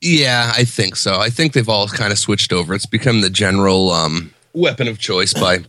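Laid-back co-host, man in his 30s, somewhat low voice, dry sound. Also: lively intonation, moderate pace, pushed and bright, no echo. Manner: laid-back, short agreeing replies. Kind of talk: casual chat.

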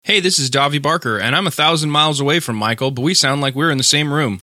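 A male radio co-host reading a scripted promo as an upbeat pitchman. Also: fast, bright, forceful tone, no room sound, studio quality.

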